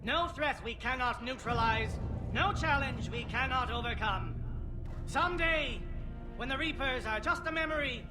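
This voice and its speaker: A resolute male alien officer from a video game, with a strikingly high pitch. His delivery is formal and oratorical, every phrase emphatic. Music plays clearly behind him. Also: normal speed. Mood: rousing, proud. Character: resolute male alien Salarian military commander. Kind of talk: rallying battlefield speech and orders.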